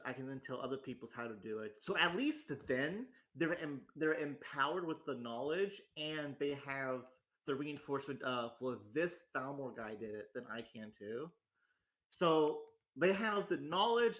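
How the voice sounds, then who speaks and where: A man's voice: mid-range voice, a bit bright. A man in his twenties talking in a rambling, self-deprecating casual chat at home, with lots of restarts and fillers. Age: 20s. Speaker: host. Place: home in a rural area.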